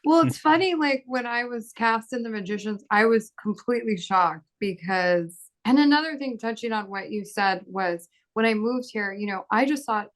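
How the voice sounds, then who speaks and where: A woman in her thirties who grew up in Bahrain, speaking as an actor on a panel in an animated, casual way, laughing often and acting out quoted dialogue. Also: on the high side, moderate pace, hardly any pauses.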